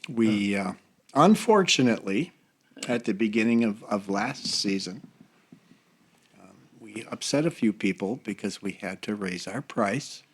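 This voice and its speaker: An American man over fifty chatting casually in a group, with a hesitant, halting way of talking. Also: on the low side, breathy edge. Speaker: church seniors' group member.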